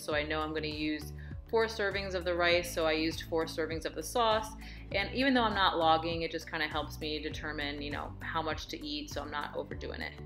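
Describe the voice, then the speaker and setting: A woman's voice: deep voice, fairly bright tone. A woman in her thirties vlogging at home, talking through her workouts and meal prep in an upbeat, encouraging, chatty way. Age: 30s. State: out of breath after a workout. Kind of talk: casual vlog narration. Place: home living room and kitchen.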